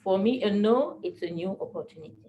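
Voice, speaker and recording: A woman's voice: mid-range voice, big pitch swings, quick pace, mellow, lo-fi sound. Earnest woman motivational speaker, noisy room. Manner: earnest, repeats phrases for emphasis. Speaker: presenter at a business team training. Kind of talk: motivational talk to a group.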